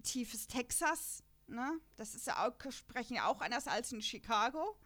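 Chatty, higher-voiced woman from western Germany. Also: expressive, measured pace, a bit bright. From Lower Rhine region, Germany. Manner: chatty, rambling, self-deprecating. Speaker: podcast co-host. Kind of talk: casual chat about an audio drama.

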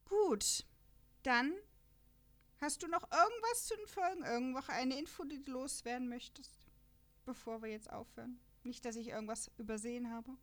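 A woman's voice: sits high, moderate pace, fairly bright tone. A woman hosting a casual podcast chat about radio dramas, chatty and laughing often as she talks. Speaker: podcast host.

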